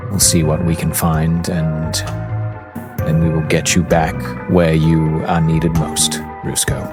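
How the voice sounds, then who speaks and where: A man playing a theatrical, courtly fey gentleman, low-voiced. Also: completely flat delivery, music in the background. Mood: amused, approving. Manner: formal, flowery, drawn-out words.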